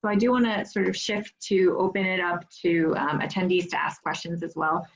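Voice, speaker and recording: Moderator, female, lower-pitched, steady and affirming, slightly dull video-call audio. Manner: measured, encouraging, frequent affirmations. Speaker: panel moderator. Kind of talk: online panel discussion.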